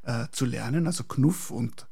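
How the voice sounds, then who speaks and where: A man from Prague, speaking as an architect in a reflective interview, deliberate and searching for words. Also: mid-range voice, creaky, dark tone, husky. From Prague, Czechoslovakia, later schooled in Austria.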